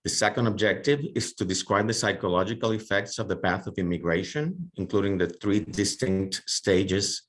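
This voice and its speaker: Deep-voiced Puerto Rican psychiatrist. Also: male voice, normal speed, few pauses. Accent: Puerto Rican Spanish. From Puerto Rico. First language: Spanish. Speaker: psychiatrist and medical director. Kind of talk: presentation.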